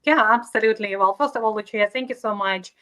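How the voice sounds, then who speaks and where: Bright, earnest woman from Ukraine, Russian her first tongue, interview guest on a call. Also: a little high, fast talker. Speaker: guest, leadership coach. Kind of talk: conversational interview.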